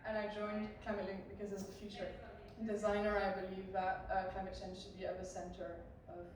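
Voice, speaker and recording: A young woman from France, a design engineering graduate, introducing herself hesitantly with pauses. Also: mid-range voice, monotone, darkish tone. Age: young adult. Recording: echoey room, distant mic.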